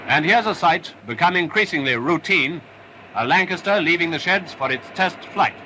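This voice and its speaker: A man's voice: a little high, lively intonation, normal speed, bright, forceful tone. A man narrating a wartime film in an emphatic, patriotic style, on a noisy restored 1940s film soundtrack. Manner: upbeat, emphatic, patriotic delivery.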